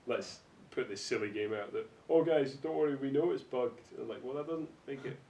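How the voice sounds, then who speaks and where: A man's voice: brisk, vocal fry, warm tone. A man with a Scottish accent chatting casually and sardonically about games, with firm opinions. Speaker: podcast panellist. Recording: echoey room, faint rumbling noise.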